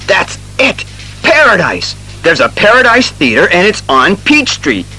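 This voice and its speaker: An actor playing a brisk, exasperated male police commissioner in an audio drama, testy and emphatic. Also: high voice, wildly swooping pitch, pushed and bright, faint music behind, exaggerated voice, low-quality recording.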